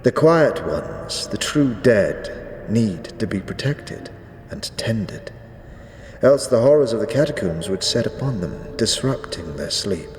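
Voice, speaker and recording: A man playing an ancient, zealous skeleton high priest in a fantasy audio drama, speaking gravely in archaic, ceremonious phrases. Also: very animated, a little mellow. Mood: grave, devout. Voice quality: deep, drawn-out delivery. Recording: echoey reverberant hall, faint noise.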